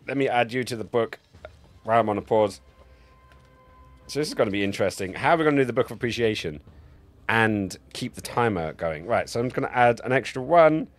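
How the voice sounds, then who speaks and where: An animated man streams a video game with music playing behind him. He rambles casually between the action and chat, joking and swearing freely into a close mic. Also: medium pitch. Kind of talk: casual live-stream gameplay commentary and chat. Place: indoor home streaming setup. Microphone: close streaming mic on a boom arm.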